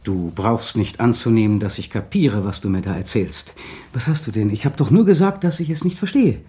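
An actor playing a tense, controlling husband in a 1960 German radio drama, clipped and insistent, sinking to a mutter. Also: male voice, lowish voice, expressive, brisk, dark tone, lightly acted. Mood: interrogating. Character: tense, controlling young husband pressing his wife.

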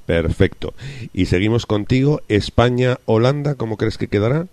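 A man hosting a casual radio sports panel, chatty and relaxed, steering the talk with friendly questions. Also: lowish voice, normal speed, dry recording. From Canary Islands. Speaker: radio talk show host.

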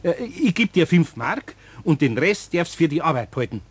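An actor playing a good-natured older master carpenter with a Bavarian accent, gruff but kindly in a radio play. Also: male voice, fairly high pitch, sing-song, normal speed, no room sound. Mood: kindly, bargaining.

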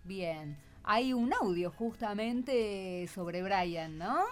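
Woman, Argentine accent, radio host, informal chatty questioning, mid-pitched voice, light music behind. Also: animated, drawn-out words. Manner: informal, prompting with questions and short echoes. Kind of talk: casual radio chat with schoolchildren.

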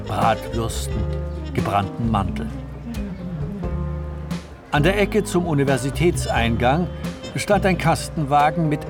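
Narrator, male: unhurried, mid-pitched, measured storytelling for radio drama, with prominent music underneath. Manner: measured, descriptive.

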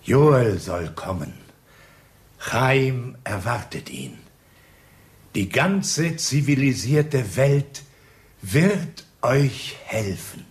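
An actor in a German radio play, playing a grave, formal negotiator with a husky voice and measured delivery. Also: male voice, medium pitch, big pitch swings, heavily acted. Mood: solemn announcing.

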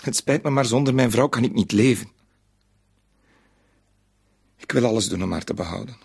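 A man's voice: medium pitch, normal speed, creaky, darkish tone, slightly rough. An actor in a radio play, a man, playing an anxious, cornered middle-aged bank clerk who speaks hesitantly and pleadingly. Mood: desperate, pleading. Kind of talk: Dutch-language radio drama acting.

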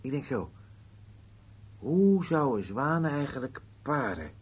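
An actor playing a grumpy, bickering old husband in a Dutch radio play, curt and dry, with a husky voice. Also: male voice, medium pitch, big pitch swings, takes it slowly, exaggerated voice. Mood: musing, dry. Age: older.

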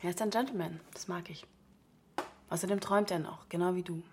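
A woman's voice: lowish voice, a little fast, a little mellow, a little hiss or hum, close to an everyday voice. An actress in a radio drama playing a self-assured woman in her twenties who talks in a terse, understated way. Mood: intimate, calm. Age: 20s. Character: cool, self-assured young woman, bartender and dealer. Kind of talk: naturalistic German radio drama.

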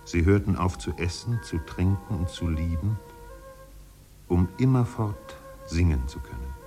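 Deep dark melancholy narrator, old 1950s german radio play, solemn n poetic, some music. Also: male voice, animated. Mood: solemn, haunted. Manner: measured, poetic, solemn delivery with drawn-out phrases. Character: melancholy male narrator, lyrical and solemn, recalling islands. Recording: 1950s radio studio production.

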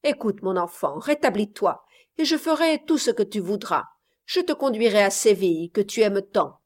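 A woman voicing a blustering, miserly old father who exclaims loudly and insistently, in a read-aloud story. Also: medium pitch, expressive, moderate pace, lightly acted.

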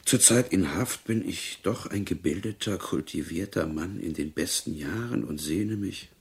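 A cultivated, self-regarding prisoner in a radio play. His voice is deep, creaky and very husky. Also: normal speed, full character voice. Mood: self-satisfied. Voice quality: gravelly, husky. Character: self-regarding cultivated male prisoner reading and composing lonely-hearts ads. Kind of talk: German radio drama acting.

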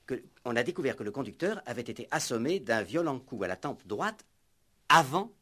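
An actor playing a flustered, deferential police subordinate who stammers and repeats himself, in a sixties radio play. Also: male voice, mid-range voice, very animated, a little fast, a bit bright. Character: flustered, deferential male police subordinate, eager but muddled. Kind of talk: 1960s French-language comic radio play acting.

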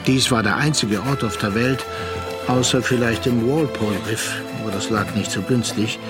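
An actor playing a sea captain who tells his tale in a radio play, with measured, literary narration. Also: medium pitch, close to an everyday voice. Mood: reflective. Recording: music behind the voice.

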